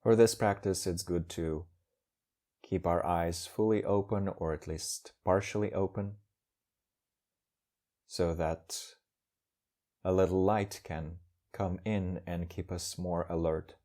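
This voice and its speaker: A man leading a guided meditation as a teacher, speaking calmly in measured, short phrases with pauses. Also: sits low.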